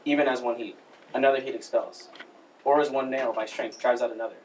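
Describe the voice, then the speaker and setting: Guy doing a torn lovesick shakespeare gentleman, earnest verse, far from mic with some noise. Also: medium pitch, steady tone, brisk, natural voice. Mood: torn, brooding. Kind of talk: dramatic Shakespeare soliloquy. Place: school showcase stage, recorded from the audience. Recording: noisy, distant stage recording with clicks.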